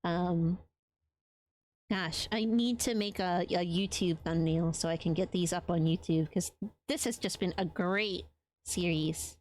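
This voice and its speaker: A woman's voice: on the low side, unhurried, a little mellow, a little hiss or hum. A woman from Latin America who streams games, chatting casually and affectionately with her live viewers, with frequent ums and a voice tired after a long stream. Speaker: game streamer.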